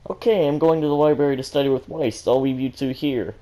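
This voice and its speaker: A man's voice: mid-range voice, steady tone, fast, dark tone, pitched above the performer's own voice, lightly acted. A man voicing an eager, scheming young teenage girl in a story reading, perky and exclaiming.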